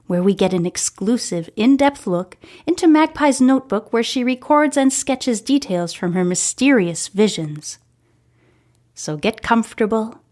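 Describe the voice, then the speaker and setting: A woman's voice: medium pitch, darkish tone. A woman hosting a story podcast, reading scripted narration from a serial novel.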